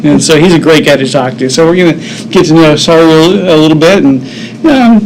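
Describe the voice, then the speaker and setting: A man with a fairly high voice co-hosts a local TV talk show in a chatty, upbeat way, full of "you know" and "um". Background noise is clearly audible under his voice. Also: quick pace, a little mellow, low-quality recording. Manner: upbeat, chatty, frequent fillers. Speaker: co-host.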